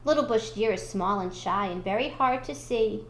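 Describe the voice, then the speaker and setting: A woman reading a children's storybook aloud in expressive narration. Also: medium pitch, moderate pace, roomy sound, a little hiss or hum, low-quality recording. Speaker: school storybook reader.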